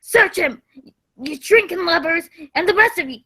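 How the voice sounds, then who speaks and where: An actor playing a menacing blind pirate who barks rough orders in a radio play. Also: very high-pitched, expressive, moderate pace, exaggerated voice, low-quality recording. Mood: commanding. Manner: shouting, demanding, rough pirate talk. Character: Old Pew, menacing blind male pirate barking orders.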